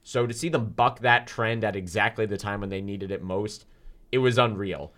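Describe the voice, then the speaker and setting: A young man talking loudly and energetically as a student sports reporter on a casual podcast. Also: on the low side, moderate pace. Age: young adult. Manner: loud, energetic talker.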